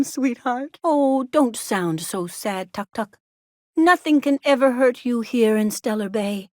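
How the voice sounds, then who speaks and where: Anxious smothering mom, high voice, melodramatic, doting and fussy, video game character, dry studio sound. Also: dry recording. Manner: fussy, anxious, melodramatic, cooing baby talk. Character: overprotective, fretful, doting small-town mother, dramatic worrier.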